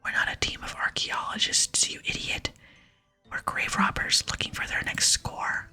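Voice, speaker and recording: A scheming female gang leader from a fantasy game, acted by a woman reader, hisses with scorn and menace. The whisper is very breathy and sharp-edged, with music beneath. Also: moderate pace. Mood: scornful. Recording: music under close whisper.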